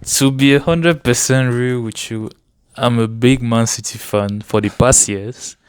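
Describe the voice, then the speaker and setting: A man's voice: medium pitch, fairly even intonation, a little slow, smooth, no room sound, clean studio sound. A man, chatting casually about sport as a guest in an interview. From Cameroon.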